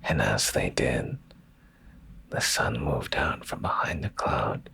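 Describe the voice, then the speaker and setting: A man with a rock-bottom, heavily fried voice reads in a hushed bedtime ASMR style. His delivery is unhurried and drawn out, with soft, soothing phrasing right up against the microphone. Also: animated. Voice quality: very deep, gravelly, breathy near-whisper. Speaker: ASMR streamer and bedtime story reader. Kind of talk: ASMR, slow soft bedtime story reading. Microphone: close ASMR microphone.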